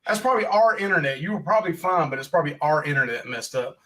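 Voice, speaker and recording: A man hosts a casual livestream podcast, his voice sitting a little high. He talks in a folksy, rambling way and keeps throwing in jokes. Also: animated, fast talker, pushed and bright, low-quality recording. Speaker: podcast host.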